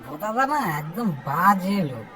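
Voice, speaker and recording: A woman's voice: fairly low pitch, big pitch swings, normal speed, a bit bright, slight background noise. A woman playing a cheeky, put-upon young lad in an amateur comic cartoon, indignant and complaining in an eastern Bengali accent. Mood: grumbling.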